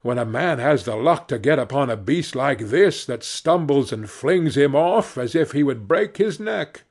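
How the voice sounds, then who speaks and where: A man's voice: mid-range voice, expressive, a little slow, a little mellow. A man voicing a cheerful, naive young servant lad in a fairy tale, earnest and exclaiming. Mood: vexed, then eager. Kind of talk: fairy tale storybook reading.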